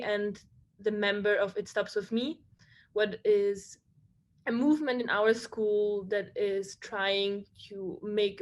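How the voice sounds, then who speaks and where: Eager young woman from Ukraine. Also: medium pitch. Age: young adult. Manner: eager, with frequent restarts and "like". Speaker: student leader. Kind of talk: presentation on a video call. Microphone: video call.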